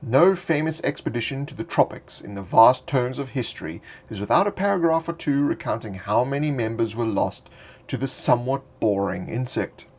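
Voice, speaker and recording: Husky man reading a monster entry. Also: medium pitch, moderate pace. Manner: dry, playful storytelling with wry asides; louder and more excited in the closing address. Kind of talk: scripted narration of a fantasy bestiary entry.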